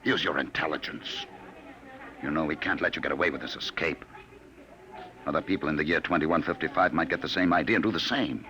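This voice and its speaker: An actor in a 1950 radio drama playing a cold, patient, menacing male agent from the future who talks smoothly and quietly threatens. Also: very deep voice, a little fast, slight background noise. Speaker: Sims, time-travel enforcer.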